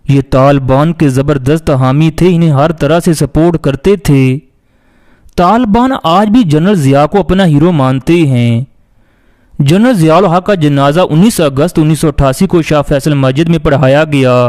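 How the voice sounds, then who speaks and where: A man's voice: fairly high pitch, a little mellow. A man with a Pakistani accent reading a scripted biographical narration as a documentary narrator.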